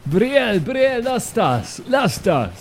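A man's voice: extremely high pitch, very animated, takes it slowly, slight background noise, pitched above the performer's own voice, exaggerated voice. A man voicing a cheeky, tactless wizard who taunts and whines in protest in a tabletop game.

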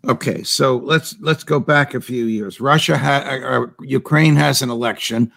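A man's voice: medium pitch, normal speed, vocal fry, a bit bright. A man hosting an interview, asking pointed, leading questions and summing up events before he puts them to his guest. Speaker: interview host.

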